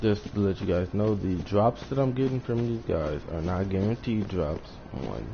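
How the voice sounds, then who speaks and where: A man with a very deep, raspy, fry-laden voice talks loosely through a game stream, hoarse and rambling, letting sentences fade out. The audio is dull and lo-fi, with heavy noise sitting under him. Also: expressive, normal speed. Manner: rambling, offhand, trails off quietly. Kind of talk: casual live-stream commentary.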